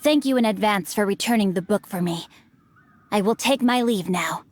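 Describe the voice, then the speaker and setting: A woman's voice: mid-range voice, normal speed, close to an everyday voice. A woman voicing a witty, bookish young male heir in a game cutscene, speaking formally with dry, teasing wit.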